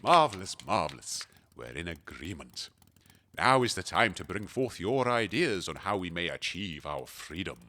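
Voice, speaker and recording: An actor playing a pompous, courtly gentleman host in a comedic audio drama, speaking in flowery, formal, theatrical phrases. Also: sits low, sing-song, normal speed, full character voice. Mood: grandiose.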